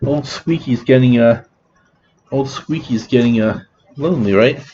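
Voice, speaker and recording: Rambling man, mellow voice. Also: mid-range voice, dull audio. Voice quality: scratchy voice. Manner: halting, many restarts and fillers. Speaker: vlogger. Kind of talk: rambling casual vlog.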